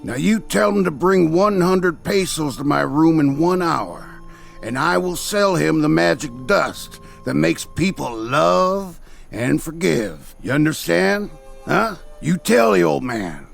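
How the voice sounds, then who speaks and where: Gravelly, sly swindler. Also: male voice, fairly high pitch, animated, takes it slowly, faint music behind, exaggerated voice. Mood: sly, wheedling. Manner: wheedling, scheming, mocking. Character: sly greedy swindling peddler, a man. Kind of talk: radio drama acting.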